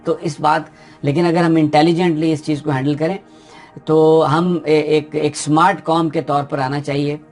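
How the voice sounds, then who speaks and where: Pakistani man giving steady political commentary. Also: fairly high pitch, moderate pace, soft background music. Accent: Pakistani Urdu. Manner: steady explanatory delivery with frequent hesitations. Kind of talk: unscripted news commentary.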